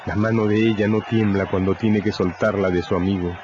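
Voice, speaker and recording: A man's voice: on the low side, steady tone, fairly quick, background noise. A man reading scripted dramatic narration as the narrator of a radio drama. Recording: dull recording, music behind.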